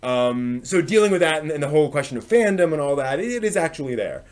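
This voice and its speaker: Man, slightly high voice, live stream host, casual unscripted reviews, halting delivery, explains step by step. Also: sing-song. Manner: halting, with frequent pauses and rephrasing.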